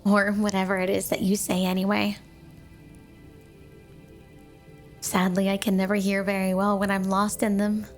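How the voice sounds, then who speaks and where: A woman's voice: mid-range voice, completely flat delivery, brisk, lightly acted. A woman voicing a sweet, obsessively devoted yandere snow woman wife in a flirty, doting ASMR roleplay spoken to the listener. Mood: dreamy, obsessive. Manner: sugary, doting, flirty, clingy. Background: music.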